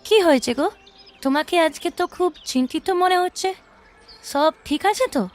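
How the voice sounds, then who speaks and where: A young village wife, voiced by a woman in a cartoon. Her voice is high and plaintive, emotional and caring, with music in the background. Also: expressive, moderate pace. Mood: concerned. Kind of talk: cartoon voice acting.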